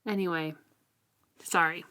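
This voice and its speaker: A woman's voice: on the low side, steady tone, measured pace, pushed and bright, a little hiss or hum. A woman co-hosting a casual book discussion, giving blunt opinions and apologizing for them. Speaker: co-host of a book discussion podcast; middle school writing teacher.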